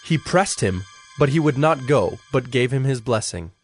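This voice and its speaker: A man's voice: mid-range voice, lively intonation, moderate pace. A man giving a dramatic scripted narration of scripture as the narrator. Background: music.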